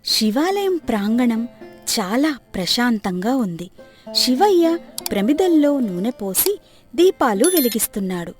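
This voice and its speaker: A woman's voice: fairly high pitch, very animated, a little slow, a little mellow, faint music behind. A woman reading scripted narration for a moral story.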